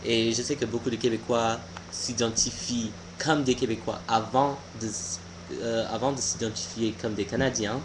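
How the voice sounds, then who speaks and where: A young American man, a native English speaker, giving an informal opinion monologue haltingly, searching for words. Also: medium pitch, fairly even intonation, background noise. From United States. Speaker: video blogger.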